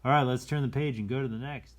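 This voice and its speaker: A man's voice: mid-range voice, fairly even intonation, fast. A man hosting a read-aloud in a casual style, with easygoing, rambling explanatory asides. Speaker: storytime reader and host.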